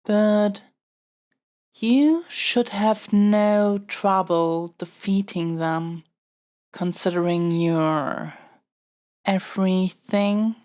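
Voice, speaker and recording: A woman's voice: mid-range voice, extremely slow, darkish tone. A woman playing a young royal princess in an audio roleplay, speaking in a formal, stately, politely pleading manner. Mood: coaxing, diplomatic. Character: young royal princess, haughty yet smitten, kidnapped by dragon. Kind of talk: scripted audio roleplay acting.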